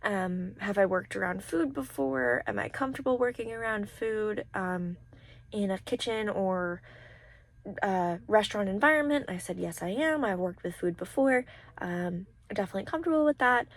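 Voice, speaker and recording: A young adult woman giving a casual vlog-style recap, talking in an informal, halting and rambling way. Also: mid-range voice, animated. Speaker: vlogger, college program applicant.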